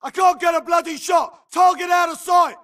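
An actor playing an urgent infantry grunt in a video game, barking clipped combat callouts. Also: male voice, extremely high pitch, steady tone, moderate pace, raised voice, recorded from a distance, background noise. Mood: urgent alarm. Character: gruff, urgent infantry grunt soldier in battle. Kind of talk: shouted combat barks.

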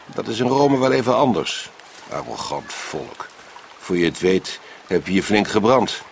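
An actor playing a self-satisfied, grumbling Roman prefect in a radio play, musing in a pompous, drawn-out way. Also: male voice, fairly low pitch, expressive, a little hiss or hum. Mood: disdainful. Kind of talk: radio drama acting.

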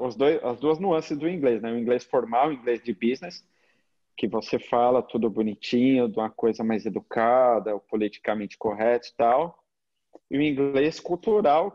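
A man's voice: mid-range voice, normal speed. A man in his thirties with a São Paulo Brazilian accent, telling stories informally as an interview guest, with slangy phrasing and frequent tag questions. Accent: São Paulo Brazilian Portuguese. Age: 30s. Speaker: interview guest, agency founder. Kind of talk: casual anecdotal chat. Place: indoor room at home, video call. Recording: slightly dull video-call audio.

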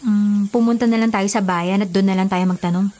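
A woman's voice: mid-range voice, steady tone, fairly quick, dark tone, a little hiss or hum, close to an everyday voice. A young woman acting as a skeptical, practical young cousin in a Filipino radio drama. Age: young adult. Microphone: studio mic.

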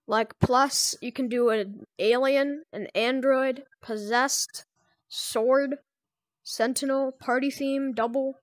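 A speaker giving a casual, off-the-cuff software tutorial in a loose, rambling manner as host. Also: sits high, fairly even intonation, slow pace, no echo. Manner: rambling, loosely strung instructions.

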